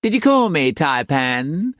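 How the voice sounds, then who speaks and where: A man's voice: on the high side, very animated, measured pace, a bit bright, no room sound, clean studio sound, voice pushed up. A man voicing a small, bossy brothel madam who shrieks and turns sweetly sarcastic. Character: shrill, bossy little madam, scheming and loud.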